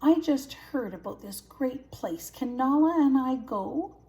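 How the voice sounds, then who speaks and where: A woman's voice: high voice, animated, unhurried, warm tone, pitched above the performer's own voice. A woman voicing an eager, boastful young male lion cub in a storybook, full of childlike bravado. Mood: eager, sly.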